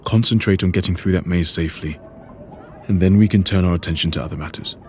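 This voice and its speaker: A very deep, grave wizard godfather, acted by a man. He sounds measured, protective and earnest, in audiobook drama style, with faint noise behind him. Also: close to an everyday voice. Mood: grave concern.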